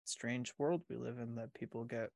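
A man's voice: mid-range voice, normal speed, vocal fry, a little mellow. A man co-hosting a casual podcast, easygoing and teasing, laughing often and hedging with fillers.

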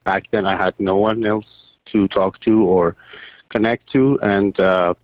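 A man who grew up in Iran, a surgeon and poet, answering interview questions haltingly with many fillers and restarts. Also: lowish voice, steady tone, no room sound. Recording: tinny phone line.

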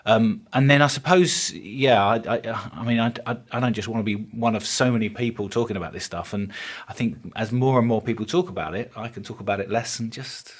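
A middle-aged man from England speaks as a guest in a relaxed interview. His voice sits fairly low with a husky, breathy edge. He talks earnestly and often doubles back over his words as he thinks aloud. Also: brisk. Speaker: podcast guest, business consultant. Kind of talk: casual interview chat.

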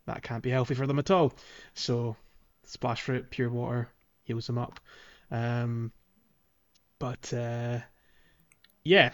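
A man's voice: medium pitch, highs cut off. A man co-hosting a casual gaming podcast, often hesitant and searching for words as he chats. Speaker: podcast co-host.

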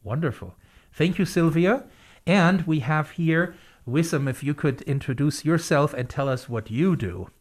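A man hosting an interview podcast, reading a prepared introduction in a measured way before questioning his guests. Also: fairly high pitch, lively intonation. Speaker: podcast host.

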